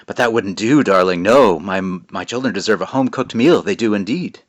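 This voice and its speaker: A man voicing a raspy, sinister faceless witch in a storybook reading, purring over the word "darling.". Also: on the low side, normal speed, no room sound, natural voice. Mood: purring menace.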